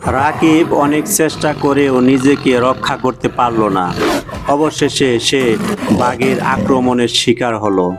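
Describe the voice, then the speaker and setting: A man narrating a cartoon moral story for children in a measured, scripted storytelling way. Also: mid-range voice. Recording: music behind the voice.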